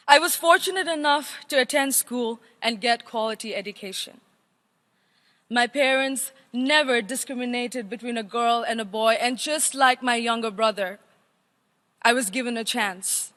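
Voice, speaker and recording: A young woman gives a formal, earnest prepared speech with emphatic, measured phrasing. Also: fairly high pitch, pushed and bright. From Nepal. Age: young adult. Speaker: youth chair giving an opening address. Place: large assembly hall, speaking to delegates. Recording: echoing large hall, long reverb.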